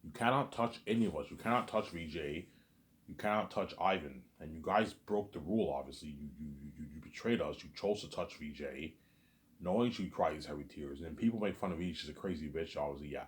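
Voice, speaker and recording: A man talking straight to his audience in a rambling monologue, repeating himself, stumbling over restarts, and letting his asides fade quietly. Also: a bass voice, a little fast. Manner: repetitive, stammers on restarts, trails off quietly on asides. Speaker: solo vlogger.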